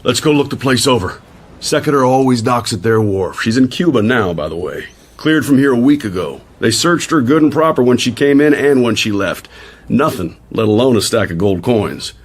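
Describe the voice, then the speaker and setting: An actor playing a brash, slangy American agent in a radio drama, punchy and blunt with an American accent. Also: male voice, medium pitch, animated, moderate pace, natural voice. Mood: urgent, businesslike.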